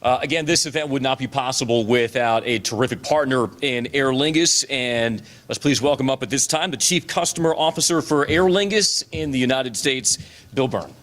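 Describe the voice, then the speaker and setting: A man emceeing a press conference, introducing speakers and wrapping up in a brisk, cheerful way. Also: mid-range voice, background noise.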